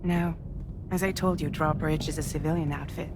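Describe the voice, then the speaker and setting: A woman's voice: sits low, fairly even intonation, normal speed, over music, close to an everyday voice. A woman acting a composed crew commander in a video game, explaining things in a matter-of-fact way. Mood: calm, welcoming. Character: composed female commander of a civilian expedition crew.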